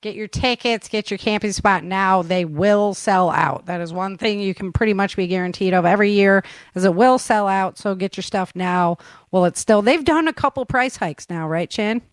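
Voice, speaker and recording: A woman's voice: medium pitch, normal speed, hardly any pauses, dry recording. An American woman hosting a podcast in a casual, chatty, slangy way. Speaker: podcast host. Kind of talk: casual upbeat podcast chat.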